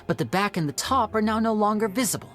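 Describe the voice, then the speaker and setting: A smooth young showman magician. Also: high-pitched, fast. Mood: explaining. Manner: smooth, theatrical, explanatory. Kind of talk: video game voice acting. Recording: game audio with soft music.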